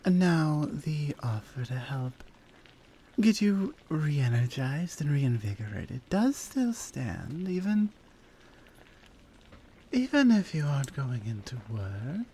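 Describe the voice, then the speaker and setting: A woman voicing a flirty, confident lioness in an intimate ASMR roleplay, breathy and unhurried, drifting into whispers. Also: deep voice, sing-song, dark tone, slight background noise, full character voice. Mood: seductive.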